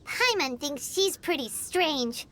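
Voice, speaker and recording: A woman voicing a tiny, excitable, blunt fairy companion in a video game with a squeaky, childlike voice. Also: takes it slowly, heavily acted. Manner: refers to herself in third person, exclaiming.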